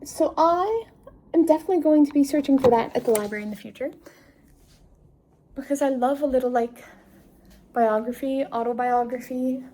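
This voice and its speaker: A woman's voice: high-pitched, moderate pace, pauses often, mellow, a little hiss or hum, poor audio. A woman in her twenties chatting casually to camera as a travel vlogger, self-mocking and tired at day's end. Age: 20s. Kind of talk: casual vlog chat to camera.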